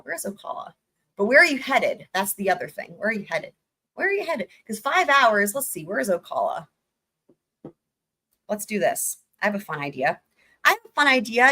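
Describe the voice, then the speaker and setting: A woman hosting a livestream in casual chat, rambling with many fillers and greeting viewers by name with upbeat shout-outs. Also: mid-range voice. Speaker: livestream host.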